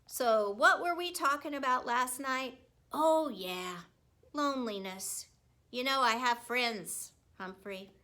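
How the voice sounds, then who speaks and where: Woman putting on a hearty, bright storybook janitor. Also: high voice, takes it slowly, exaggerated voice. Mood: chatty, confiding. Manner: exclamatory, folksy, chummy. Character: friendly, hearty male school janitor, big-hearted and chatty. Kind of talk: children's book read aloud.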